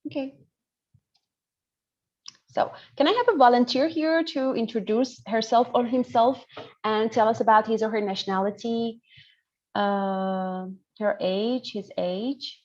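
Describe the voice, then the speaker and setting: A woman's voice: a little high, a little slow, pauses often, a little mellow. Iranian woman teaching a med class online, checks in a lot, muffled video call sound. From northern Iran. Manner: explanatory, checking understanding often. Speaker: lecturer, pediatrician. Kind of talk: interactive online medical lecture.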